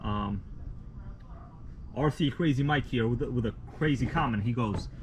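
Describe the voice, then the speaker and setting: A man from Russia, a native Russian speaker, hosting a casual live stream outdoors at night, rambling in a relaxed, slangy way with many fillers. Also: mid-range voice, measured pace, a little hiss or hum, poor audio. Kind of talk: casual live stream chat, reading viewer comments.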